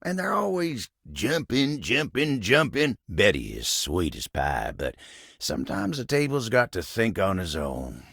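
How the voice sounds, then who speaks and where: A chatty old-fashioned cowboy table from a video game. The voice is low and full of gravel, with a folksy Southern drawl that rises and dips freely. He rambles along politely, easygoing and a little bashful. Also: wildly swooping pitch, unhurried, fairly bright tone, dry recording, studio quality, exaggerated voice. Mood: mildly exasperated. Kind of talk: video game character dialogue.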